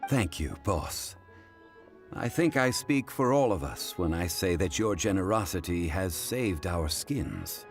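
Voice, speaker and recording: Game role: courtly scholar, very low voice with lively inflection, formal and eloquent. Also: male voice, measured pace, faint music behind. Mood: polite. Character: composed, scholarly gentleman, formal and unhurried. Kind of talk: video game voice acting.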